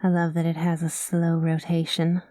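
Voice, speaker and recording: A woman voicing a kind, easygoing space cowgirl pilot in an ASMR roleplay, talking gently in a folksy cowboy manner. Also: low-pitched, monotone, normal speed, no echo, natural voice. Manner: folksy drawling cowboy talk, calls listener partner; gentle and soothing.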